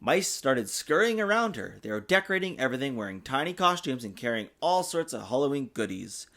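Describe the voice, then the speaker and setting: A man reading a children's storybook aloud in an expressive, sing-song way, as a father does for his young child. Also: medium pitch, fairly quick. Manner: patiently answers child's interruptions. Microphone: desktop USB microphone.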